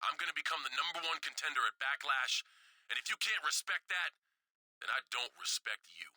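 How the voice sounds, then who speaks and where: Arrogant wrestler talking trash, condescending, sharp punchy voice, dry game audio. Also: male voice, fairly high pitch, brisk, no echo, rough recording. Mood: confrontational. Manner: condescending, cocky. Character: arrogant, territorial male pro wrestler rival. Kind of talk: scripted video game cutscene trash talk.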